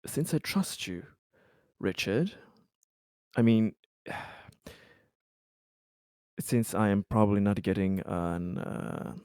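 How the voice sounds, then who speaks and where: A man playing a cautious official in a tabletop roleplaying game, speaking formally and hesitantly as he deliberates. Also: lowish voice, animated, a little mellow, clear, resonant tone, no echo, studio quality. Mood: cautiously cooperative. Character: cautious, deliberating male official negotiating with an agent. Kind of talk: improvised tabletop roleplay dialogue.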